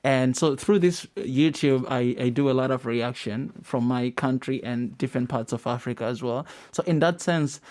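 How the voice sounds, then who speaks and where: A man's voice: medium pitch, moderate pace, hardly any pauses, a little mellow. A man in his late twenties from Tanzania, a native Swahili speaker, talking earnestly as a guest in a radio interview. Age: late 20s. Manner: earnest, with many murmured agreements. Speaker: guest, YouTuber.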